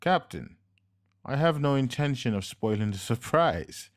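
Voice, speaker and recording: A man voicing a slickly dressed, coolly polite and menacing henchman, speaking slow, measured and smug. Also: mid-range voice, big pitch swings, pitched above the performer's own voice. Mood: smooth.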